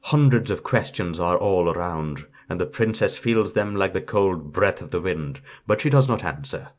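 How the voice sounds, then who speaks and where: A man's voice: low voice, expressive, moderate pace. A man reading a fairytale aloud as a storyteller, in scripted narration.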